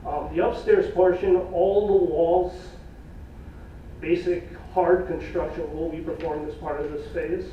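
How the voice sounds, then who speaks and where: A man presenting cost estimates at a public meeting in a plain, folksy way, losing his voice, with halting fillers. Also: on the high side, fairly even intonation, slight background noise. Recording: echoey room from far off, dull.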